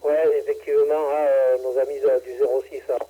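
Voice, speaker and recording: A man's voice: mid-range voice, level tone, brisk, slightly rough, narrow, tinny audio. A man running a ham radio net, chatting casually and hesitantly with many fillers, from southern France. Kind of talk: casual on-air radio chat.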